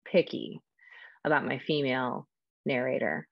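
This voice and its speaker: A woman's voice: extremely low pitch, measured pace, creaky, no echo, muffled recording. A woman talking casually in an interview about casting audiobook narrators, thinking aloud with fillers.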